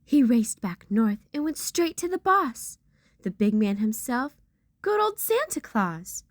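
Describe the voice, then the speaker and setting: A woman's voice: fairly high pitch, big pitch swings, slow, dry recording. A woman reading a rhyming children's picture book aloud with theatrical storyteller emphasis.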